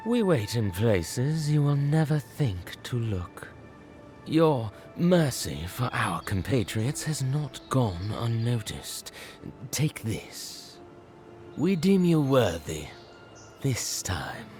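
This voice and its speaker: A man playing a secretive agent of a hidden faction in a video game, speaking in a measured, formal, cryptic way with veiled menace. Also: medium pitch, sing-song, a little mellow, faint music behind. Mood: veiled, solemn. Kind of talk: dramatic game voice acting.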